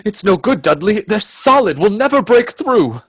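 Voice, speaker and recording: A man's voice: high voice, big pitch swings, normal speed, husky, no echo, studio quality, heavily acted. A man playing a cautious, anxious Edwardian time traveller in a radio play, earnest and reflective. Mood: anxious, resigned. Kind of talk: radio play acting and narration.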